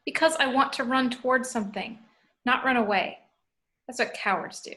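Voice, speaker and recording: A woman's voice: a little high, moderate pace, pushed and bright. A woman reading the part of a determined teenage girl at a home table read. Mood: urgent. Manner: urgent, earnest.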